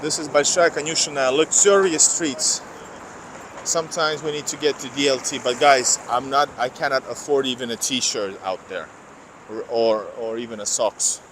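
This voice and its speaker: A man's voice: medium pitch, steady tone, normal speed, fairly bright tone. A man from Finland with a slight Finnish accent, livestreaming a walking tour and rambling to viewers in chat. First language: Finnish or Russian. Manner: rambling, self-correcting, reads out viewer comments. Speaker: vlogger. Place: outdoor city streets. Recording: noisy outdoor street with traffic.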